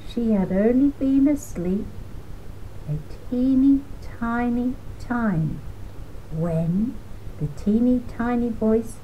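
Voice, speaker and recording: An older woman reading a children's storybook aloud in a deliberate, gently emphatic way. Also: a little high, slight background noise, rough recording.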